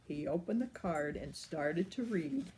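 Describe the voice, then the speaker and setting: An older woman, a grandmother, reading a picture book aloud to children in a playful, animated way. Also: deep voice, unhurried, a little hiss or hum, poor audio. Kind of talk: storybook read-aloud.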